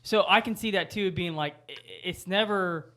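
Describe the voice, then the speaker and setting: A man in a casual podcast discussion, explaining his view with halting starts and acting out imagined remarks. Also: high voice, pushed and bright. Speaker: podcast panelist.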